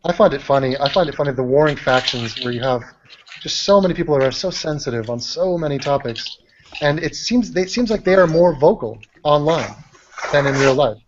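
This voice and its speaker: A man's voice: on the high side, lively intonation, a little fast, noisy background. Muffled, teasing man hosting banter. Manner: teasing, sweary. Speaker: host of an online chat show. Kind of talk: casual group banter. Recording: muffled online call, other voices behind.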